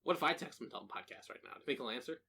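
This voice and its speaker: A man trading quick jokes and teasing remarks with his podcast co-host, words tumbling out at a brisk clip. His voice sits at a plain middle pitch. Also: lively intonation, gravelly, bright, forceful tone, breathy edge. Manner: joking, teasing banter. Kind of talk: casual chat.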